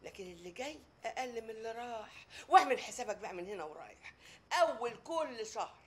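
A woman playing a shrill scolding Egyptian ex-wife. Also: expressive, brisk, close to an everyday voice. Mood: complaining. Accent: Egyptian Arabic. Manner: nagging, cursing, mocking. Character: older divorced mother, shrill and scolding. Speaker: Umm Mar'i, ex-wife. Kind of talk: radio drama acting.

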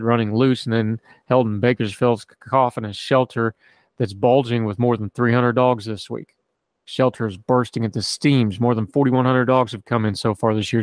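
A man's voice: medium pitch, no echo. Man reading aloud, dry close sound. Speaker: podcast host. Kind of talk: reading an article aloud with casual asides.

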